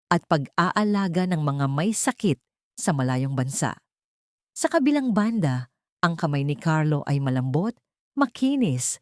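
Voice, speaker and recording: Low-voiced woman narrating a dramatic story. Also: a little mellow, dry recording, clean studio sound.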